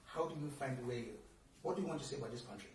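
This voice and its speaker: A man's voice: mid-range voice, expressive, racing. A man from Nigeria hosting a TV interview, asking rambling, hesitant questions full of restarts. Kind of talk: conversational interview. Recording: echoey room, mic at a distance, faint noise.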